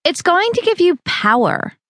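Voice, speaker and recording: A woman voicing a pushy, upbeat young female fitness trainer who coaxes loudly. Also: very high-pitched, big pitch swings, normal speed, no room sound, clean studio sound, pitched above the performer's own voice, exaggerated voice. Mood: coaxing. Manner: pushy, cheerful, loud coaxing.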